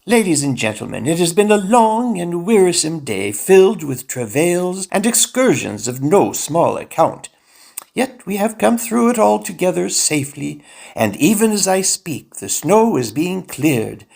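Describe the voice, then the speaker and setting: A man's voice: sits high, big pitch swings, unhurried, gravelly, darkish tone, heavily acted. A man playing a pompous master of ceremonies for an opera troupe in an audio drama, announcing in a florid, declamatory way. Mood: ceremonious. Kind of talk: theatrical audio drama acting.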